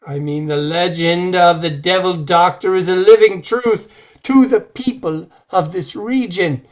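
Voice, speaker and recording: An actor playing a solemn village preacher in an old-time radio drama, speaking in a grave, measured, formal way. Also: male voice, sits high.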